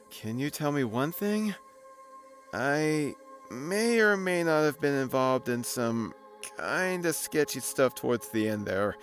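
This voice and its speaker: A man acts a guilt-ridden soul in an audio drama, casual and sardonic. His pitch moves widely while his delivery takes its time and hesitates. Soft music sits under the voice. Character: newly dead man, flippant, nervous, guilt-ridden soul. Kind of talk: naturalistic audio drama acting.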